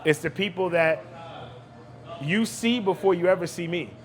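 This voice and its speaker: A man's voice: high voice, normal speed, a crowd in the background. A man talking conversationally as an interview guest, speaking emphatically and repeating phrases. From Brooklyn, New York, United States. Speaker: cigar lounge owner, podcast guest.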